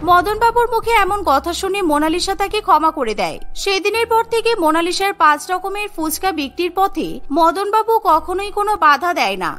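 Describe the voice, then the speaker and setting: A woman's voice: extremely high pitch, very animated, brisk, hardly any pauses, a bit bright, faint music behind. A woman giving scripted narration for a cartoon story.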